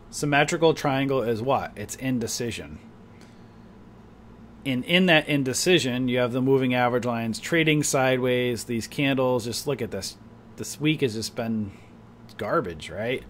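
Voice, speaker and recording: Man, mid-pitched, rambling casual trading commentary, slightly off voice, coughs now and then. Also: slight background noise. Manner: rambling, chatty, many fillers like "you know" and "right". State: voice a bit off, coughing and clearing throat. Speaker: stock trading streamer. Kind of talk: casual market commentary to viewers.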